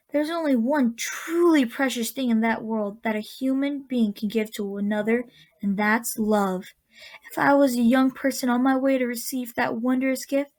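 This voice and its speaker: A kindly old woman of a magical storybook land, played by an actress, speaks in a high voice. She is gentle and folksy, giving unhurried advice as in a radio drama. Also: close to an everyday voice.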